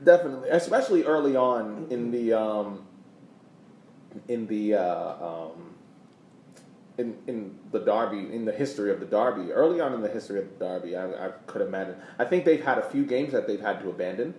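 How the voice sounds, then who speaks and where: Male podcast co-host, halting explanatory delivery, casual sports talk, roomy recording with some background noise. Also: lowish voice, darkish tone.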